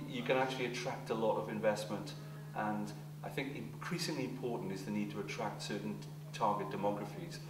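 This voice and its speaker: A middle-aged man speaking in a slightly low voice, answering interview questions in a measured, thoughtful way, often pausing on fillers and restarting phrases. He is picked up from across an echoey office room, with a steady hum underneath. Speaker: keynote speaker on urban growth. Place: office room with plants, indoors. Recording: roomy, distant, with steady hum.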